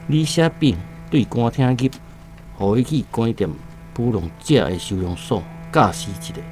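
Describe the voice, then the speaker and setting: A man playing a grave Taiwanese neighbour in a radio play. His voice is medium in pitch as he counsels. Music plays faintly behind. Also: expressive, normal speed, a little mellow, natural voice. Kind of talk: radio drama acting.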